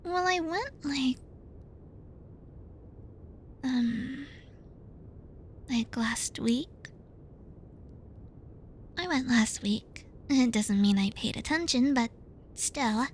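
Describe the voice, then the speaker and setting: A bratty young tsundere student voiced by a woman in an ASMR roleplay. Her voice is high and breathy, often dropping toward a whisper, with a slow, halting delivery. She sounds pouty, bossy and easily flustered, close to the mic over faint hiss. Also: lively intonation, heavily acted. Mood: bossy, teasing. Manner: halting, pouty, defensive, stumbles over words. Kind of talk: ASMR roleplay addressed to the listener.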